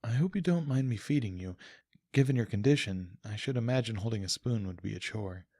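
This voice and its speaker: A man voicing a gentle, hopeful female pony goddess in an audiobook, calm, kindly and earnest. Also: fairly low pitch, brisk, no echo, studio quality. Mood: cheerful. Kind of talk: audiobook character voice.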